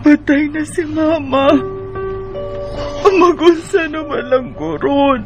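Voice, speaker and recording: A woman's voice: high-pitched, slow pace, a little mellow, soft background music. A woman with a Visayan Filipino accent playing an emotional, vulnerable young woman in a radio drama. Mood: grieving.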